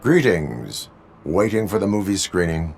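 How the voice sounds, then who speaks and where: A stoic cyborg policeman in a video game. The voice is very low, and every line comes out clipped, formal and deadpan. He is dutiful and takes things literally. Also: measured pace, creaky, slight background noise, exaggerated voice. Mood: flat, businesslike. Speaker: police officer. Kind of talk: video game dialogue acting.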